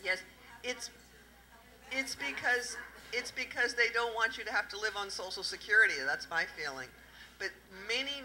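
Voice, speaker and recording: A middle-aged woman with a Southern US accent, a tax accountant giving a lively talk at an indoor event and quizzing the audience through a handheld microphone. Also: on the low side, rough recording. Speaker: tax accountant presenting at a panel. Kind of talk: interactive talk to a live audience.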